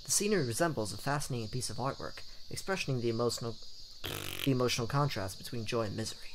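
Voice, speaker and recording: A man's voice: mid-range voice, quick pace, background noise. A man hosting a let's play, reading a visual novel aloud in a playful, theatrical way with jokey asides. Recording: game music behind the voice. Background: game music.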